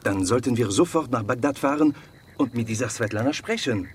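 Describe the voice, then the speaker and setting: An actor playing a serious, decisive investigator in a radio drama. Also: male voice, medium pitch, fairly quick, a little hiss or hum, close to an everyday voice.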